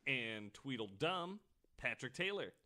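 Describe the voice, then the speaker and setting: Man, podcast host, lively intonation, informal storytelling, joking, mid-range pitch. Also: measured pace. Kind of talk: casual conversational storytelling.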